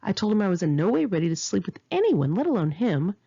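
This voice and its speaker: Woman, bright husky voice, very expressive, sarcastic indignant storyteller, memoir audiobook reading, slightly muffled home recording. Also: medium pitch, quick pace. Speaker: author reading own memoir aloud. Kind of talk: expressive audiobook narration of a first-person memoir.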